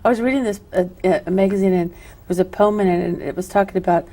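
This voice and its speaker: A woman's voice: mid-range voice, fast talker, dark tone. A middle-aged woman hosting a local arts interview show, asking long, rambling questions full of "you know". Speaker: TV show host. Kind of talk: casual interview. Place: local cable TV studio.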